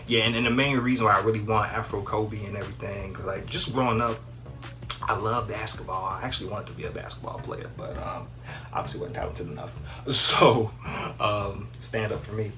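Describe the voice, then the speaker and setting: A man's voice: on the low side, fairly even intonation, a little fast, sharp tone, slight background noise. A man in his thirties from Minnesota, rambling casually about video games with lots of fillers. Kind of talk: casual chat. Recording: music playing behind the voice.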